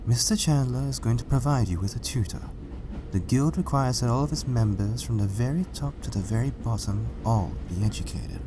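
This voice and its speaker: A man voicing a gentle, reassuring pegasus mare, a proud mother, in an earnest storybook reading. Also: mid-range voice, a little fast, noisy background, voice pushed up, natural voice. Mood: earnest, encouraging. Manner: soothing, earnest, encouraging.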